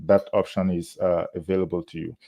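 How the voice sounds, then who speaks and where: A man from Burkina Faso, speaking English as a later language, giving measured advice as a university admissions officer, with many "uh" pauses. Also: sits low, little pitch movement, vocal fry. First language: not English. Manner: measured, frequent "uh" fillers, warm laughs. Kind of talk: interview answers, advice to students.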